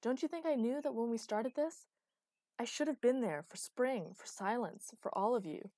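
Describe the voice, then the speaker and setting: A woman's voice: mid-range voice, lively intonation, takes it slowly, warm tone, no echo, clean studio sound, voice pushed up. A woman voicing an anguished, angry warrior man with a remade metal body in a storybook narration. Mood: furious.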